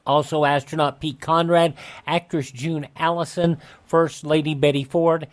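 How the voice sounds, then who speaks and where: A man's voice: fairly high pitch, flat delivery, a little slow. A man hosting a radio show, reading a scripted rundown of historical dates.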